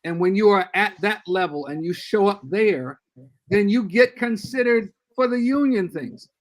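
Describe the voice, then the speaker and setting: An older man, a guest church leader, giving earnest and measured advice in a casual podcast discussion over a video call. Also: high-pitched, animated.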